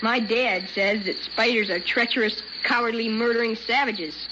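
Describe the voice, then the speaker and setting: Woman playing a brash, high-voiced young boy. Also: steady tone, normal speed, lightly acted. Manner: blunt, childlike, folksy grammar. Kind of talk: 1970s radio drama acting. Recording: muffled, noisy 1970s radio recording.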